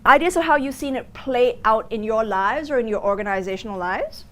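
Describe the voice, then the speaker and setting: A woman's voice: on the high side, brisk. Singapore-raised woman lecturing a class. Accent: muddled Singaporean and American. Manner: animated storytelling, asks the class questions. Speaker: business school lecturer.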